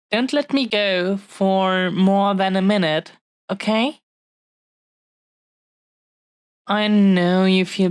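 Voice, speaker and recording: A woman acts out an adoring dragon-blooded young woman in an intimate romance roleplay. Her speech is extremely slow and tender, lingering over short phrases at a middle pitch. She is possessive yet affectionate, and the sound is dry, with hardly any room on it. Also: clean tone, no room sound. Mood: tender, pleading. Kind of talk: intimate romantic audio roleplay addressed to the listener.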